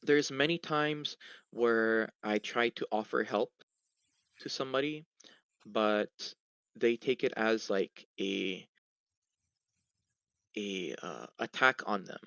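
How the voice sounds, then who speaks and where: A man's voice: on the low side, takes it slowly, vocal fry, pauses often, a bit bright, smooth, no room sound, highs cut off. A young man from Hawaii answering interview questions casually, with many fillers and restarts. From Hawaii, USA.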